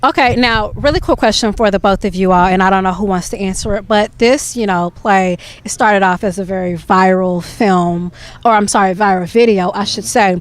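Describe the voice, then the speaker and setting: A woman's voice: mid-range voice, moderate pace. A woman hosting an interview, asking the guests friendly, prompting questions. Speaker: interview host.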